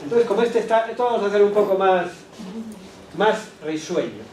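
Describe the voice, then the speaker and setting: A man gives an animated lecture to teachers, asking the room rhetorical questions and adding quick asides to the audience. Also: sits high. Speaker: education speaker at a teachers' workshop. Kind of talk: lecture presentation. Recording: echoey hall, distant mic, background noise.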